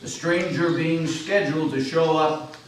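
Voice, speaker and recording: High-ish male storyteller in echoey hall. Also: steady tone, unhurried. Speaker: narrator. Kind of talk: dramatic storytelling, scripted narration. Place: large echoey meeting house indoors. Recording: echoey hall, distant mic, noisy.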